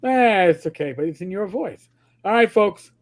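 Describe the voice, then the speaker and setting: This man from Queens speaks with a New York accent in a noticeably high register. He hosts a casual livestream, rambling, tripping over repeated words and swearing freely. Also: expressive, moderate pace. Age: older. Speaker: host.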